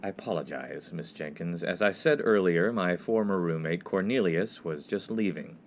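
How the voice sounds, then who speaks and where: A man's voice: low voice, normal speed, slight background noise. An actor playing an earnest, prim and exasperated young college freshman in a comedy radio play. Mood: flustered. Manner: earnest, polite, pedantic.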